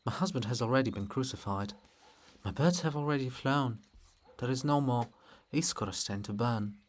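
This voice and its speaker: A man acts out an ancient princess cast as a monument in a stage drama. She is proud and solemn, brooding aloud in lofty, lyrical declamation at a middling male pitch. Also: moderate pace, close to an everyday voice. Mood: solemn, mournful.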